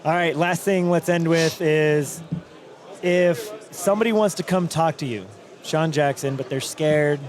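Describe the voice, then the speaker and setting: A man hosting a hallway interview at a conference, joking, laughing and asking quick follow-up questions. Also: fairly high pitch. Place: outdoors at a conference venue.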